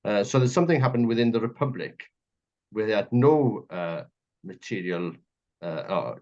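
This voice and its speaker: Welsh man, lowish pitch, radio interviewer, discursive and emphatic, conversational, slightly muffled lo-fi recording. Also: lively intonation, normal speed, warm tone. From Wales. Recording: dull, muffled lo-fi audio.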